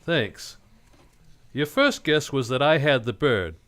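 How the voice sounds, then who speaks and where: A man's voice: mid-range voice, animated, slow pace, a bit bright. Guy playing a cynical wisecracking detective in old time radio, mid voice that moves around a lot, clipped and wry. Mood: cool, wry. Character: hard-boiled, cynical, wisecracking male private detective.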